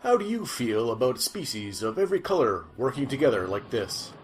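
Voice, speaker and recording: A man's voice: medium pitch, very animated, a little fast, slight background noise, natural voice, low-quality recording. A man playing an earnest futuristic news reporter in a scripted sci-fi audio drama. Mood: earnest, curious.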